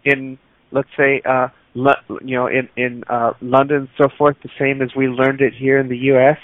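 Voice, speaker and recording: A man from Los Angeles calling into a group chat, talking haltingly with many restarts. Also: mid-range voice, level tone, no echo. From Los Angeles, USA. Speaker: caller. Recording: tinny phone line, dry.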